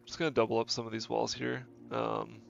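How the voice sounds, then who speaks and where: Stuffy-nosed gravelly streamer man. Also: mid-range voice, level tone, a little fast. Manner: thinking aloud, self-correcting, easygoing. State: stuffy nose from a cold. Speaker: game streamer. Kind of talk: casual gameplay commentary. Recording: dull lo-fi stream audio. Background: faint game music.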